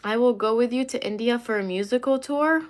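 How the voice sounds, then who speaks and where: Woman streamer, relaxed and rambling, chats with viewers while doing her makeup at home. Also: on the high side, fairly quick. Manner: chatty, rambling, many fillers and asides. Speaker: livestreamer answering chat. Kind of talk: casual livestream chat while doing makeup.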